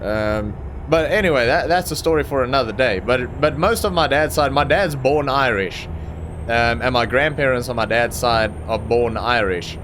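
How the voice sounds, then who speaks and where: A man's voice: medium pitch, moderate pace, noisy background. A young man from Africa, an Afrikaans speaker, rambling through casual commentary on a truck driving game with plenty of ums and restarts. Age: young adult. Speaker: gaming channel host.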